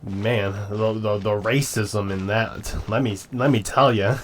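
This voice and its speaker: A man giving casual, halting, informal commentary as he reacts to a game. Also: lowish voice, steady tone, slight background noise. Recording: game music behind the voice.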